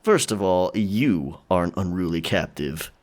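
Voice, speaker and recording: Man playing a slow, teasing low-voiced pirate rogue. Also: expressive, darkish tone. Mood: smug, teasing. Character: mischievous, taunting male pirate captor, roguishly playful. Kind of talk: audio drama acting.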